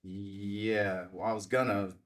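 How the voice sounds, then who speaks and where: A man's voice: fairly low pitch, level tone, slow pace. A man reading the part of a wry, affectionate, hungover reporter in his forties at a script table read.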